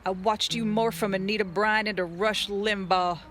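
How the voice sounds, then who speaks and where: A woman's voice: medium pitch, steady tone, normal speed, a bit bright, lightly acted. A woman playing a brash, no-nonsense cop in a comedic audio drama, blunt and sardonic. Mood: recalling, amazed. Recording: music under the voice.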